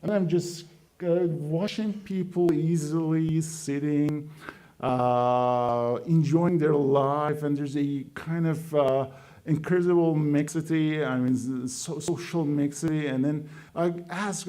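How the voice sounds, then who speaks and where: Halting man giving a conference talk. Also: on the high side, dark tone. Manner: halting, many fillers and restarts. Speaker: conference speaker. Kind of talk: conference presentation. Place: conference hall.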